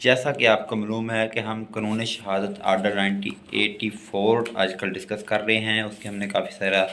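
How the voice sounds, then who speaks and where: A man's voice: lowish voice, level tone, moderate pace, sharp tone, slight background noise. A man with a Pakistani accent lecturing as a lawyer, reading passages out and then explaining them step by step. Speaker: lawyer giving law lectures. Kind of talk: lecture, explaining exam topics in Urdu and English.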